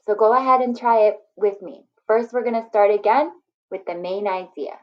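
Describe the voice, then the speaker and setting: A woman's voice: medium pitch, normal speed. Woman teacher on a muffled lo-fi recording. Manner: encouraging, simple explanations for children. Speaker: first grade teacher. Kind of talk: read-aloud lesson addressed to children.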